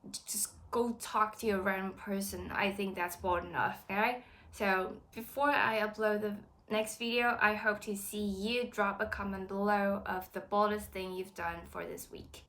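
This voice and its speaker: A woman's voice: medium pitch, fairly bright tone. A woman in her twenties from Taiwan, a native Chinese speaker, talking to camera in an earnest, encouraging vlog. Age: 20s. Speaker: vlogger. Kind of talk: casual talk to camera.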